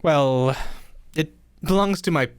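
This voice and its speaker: A woman's voice: sits low, slow, clear, resonant tone, dry recording. A woman playing a teasing, romantic young lady from a wealthy family in a radio play, with a coy, dramatic manner. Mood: coy, wistful. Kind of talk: old-fashioned radio play acting.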